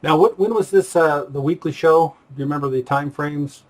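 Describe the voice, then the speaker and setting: A man's voice: fairly high pitch, a little fast. An American man hosting a casual interview, talking in a tentative, digressive way. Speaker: interview host.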